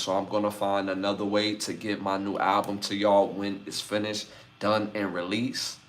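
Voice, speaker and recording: A man in his forties hosting a live podcast, talking in an easygoing, casual way. His voice sits a little low, and he speaks loosely as he thanks viewers one by one. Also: a little hiss or hum. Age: 40s. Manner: laid-back, shouting out viewers by name. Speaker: podcast host. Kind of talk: casual livestream sign-off chat.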